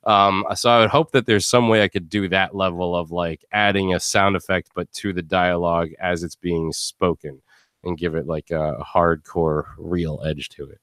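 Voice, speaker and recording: Low-voiced man in a casual panel discussion. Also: fairly even intonation, moderate pace, a bit bright, dry recording, clean studio sound. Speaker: podcast host and audio drama actor.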